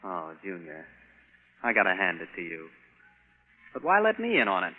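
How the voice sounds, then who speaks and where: A man playing an agitated, insistent scientist in a 1950s radio drama, questioning in an emphatic, expressive way. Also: low-pitched, normal speed, narrow, tinny audio, exaggerated voice. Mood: subdued, puzzled. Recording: thin vintage radio recording with music.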